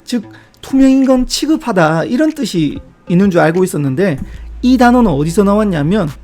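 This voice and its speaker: Mellow-voiced man explaining word origins. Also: high-pitched, very animated, fairly quick, faint music behind. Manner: chatty, reflective asides and small self-deprecating jokes. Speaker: book-review video host. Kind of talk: casual explanatory talk about word origins.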